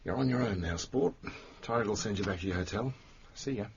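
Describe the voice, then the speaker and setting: Brash Australian spy fixer, muffled recording. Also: male voice, on the low side, brisk, gravelly, slight background noise. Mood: matter-of-fact. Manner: blunt, slangy, jocular. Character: brash hard-drinking Australian male intelligence man. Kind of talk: radio drama acting. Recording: dull lo-fi radio broadcast copy.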